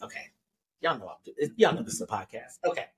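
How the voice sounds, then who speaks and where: A man's voice: medium pitch, very animated, fairly quick, crowd noise. Laid-back man hosting a podcast. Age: 30s. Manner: laid-back, laughing, explaining. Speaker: podcast host. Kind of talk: casual podcast panel chat.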